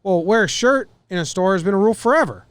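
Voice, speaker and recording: A man's voice: high voice, lively intonation, fast, a bit bright, smooth, dry recording. A man co-hosting a podcast in casual sports banter, opinionated and free with swearing. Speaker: podcast co-host.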